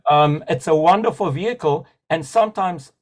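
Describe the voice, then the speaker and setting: A man with a fairly high voice speaks up on a video-call webinar. He is eager and enthusiastic, rambling from one idea to the next and apologizing for talking too much. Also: fairly quick. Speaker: webinar participant. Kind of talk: spontaneous comment in a webinar.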